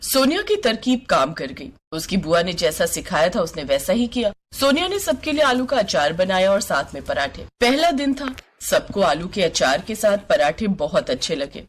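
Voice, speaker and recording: A woman's voice: on the low side, very animated, normal speed, hardly any pauses. A woman working as a narrator, reading scripted family stories in storytelling style.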